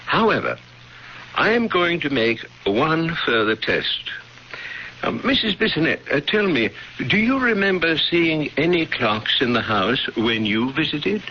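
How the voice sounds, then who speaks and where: An actor playing a learned, cautious witchcraft professor with formal, deliberate speech in an old-time radio drama. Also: a little high, big pitch swings. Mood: grave, suspicious. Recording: muffled, noisy old radio broadcast.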